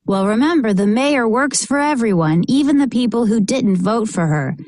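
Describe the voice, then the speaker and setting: A woman reading a children's picture book aloud in a measured, expressive way, narrating and acting out short bits of dialogue. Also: a little high, no room sound.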